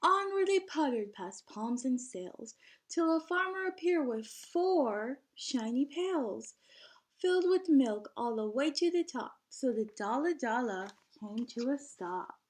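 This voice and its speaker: A woman with a high voice reads a picture book aloud for young children. Her pace is slow and her delivery expressive. She speaks like a teacher, stepping out of the text to question her listeners.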